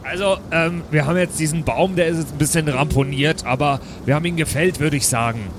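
A man's voice: a little high, fairly quick, background noise, lightly acted. A man playing a puzzled, formally polite dwarf adventurer who questions plainly in an improvised audio play. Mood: matter-of-fact.

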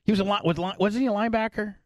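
A man's voice: high-pitched, fairly even intonation, very fast, dry recording, studio quality. A man hosting a casual interview, talking bluntly with plenty of swearing and jokes. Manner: profane, blunt, joking. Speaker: podcast host.

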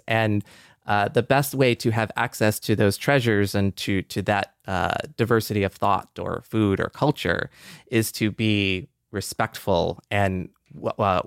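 A man shares reflections in a casual group discussion. His voice is clean, resonant and slightly low, and he feels his way thoughtfully through each idea, recorded close and dry. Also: no echo. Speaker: discussion participant.

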